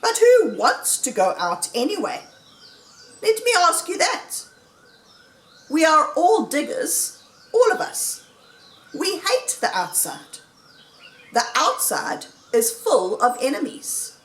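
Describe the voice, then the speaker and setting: A jubilant storybook fox, acted out by a woman, makes grand, rallying speeches to his friends. The voice is high and swoops with boastful pride, in a roomy space. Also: a bit bright, full character voice.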